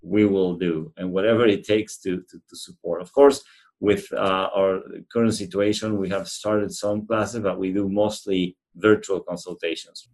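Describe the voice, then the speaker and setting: This is a man with an Argentine accent and a low-pitched voice. He speaks as an interview guest, earnestly and thoughtfully, often pausing to find his next words. He sounds middle-aged and conversational. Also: fairly even intonation, a little mellow. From central Argentina. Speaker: animal shelter representative being interviewed.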